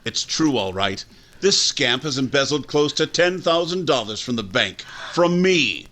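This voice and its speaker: A man as a stern, forceful older father. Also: mid-range voice, lively intonation, a little slow. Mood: righteous anger. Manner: folksy, accusing. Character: stern older father, banker, blunt and indignant. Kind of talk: 1940s radio drama acting.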